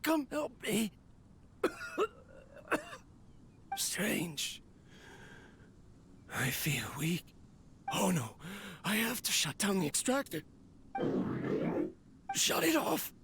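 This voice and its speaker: A slow, gravelly researcher in a video game drama, weak and full of regret. His pitch swings widely as he struggles through halting phrases with failing breath, over faint noise. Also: sing-song, heavily acted. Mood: weak, panicked. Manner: halting, coughing and gasping between phrases. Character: dying, regretful male researcher of mechanical life.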